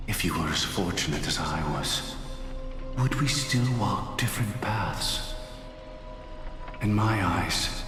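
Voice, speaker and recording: Grave, low-voiced brooding man, echoing hall. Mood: somber, reflective. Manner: grave, deliberate, theatrical delivery. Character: solemn, measured, brooding man in a fantasy game. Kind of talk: dramatic video game voice acting. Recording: echoey hall reverb, music behind.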